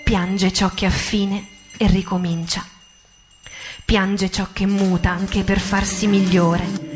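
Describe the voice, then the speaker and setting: Woman, medium-pitched voice, for radio-theatre poetry over clearly audible music; measured, grave phrasing with theatrical pauses. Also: flat delivery. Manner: solemn, deliberate phrasing with dramatic pauses. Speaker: performer reciting poetry. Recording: music under the voice.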